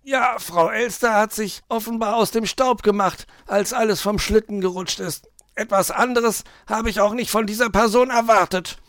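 Huffy whiny storybook fox, way high voice, grumbles in big swoops. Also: male voice, lively intonation, normal speed, gravelly, dry recording, clean studio sound. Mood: exasperated. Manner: whiny, scolding, exaggerated. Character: grumbling, put-upon male fox, huffy and formal. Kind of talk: children's storybook character voice.

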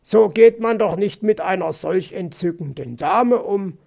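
A man voicing a dazed but gallant and gentle male fox in a storybook, polite and friendly. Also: high voice, lively intonation, takes it slowly, vocal fry, heavily acted.

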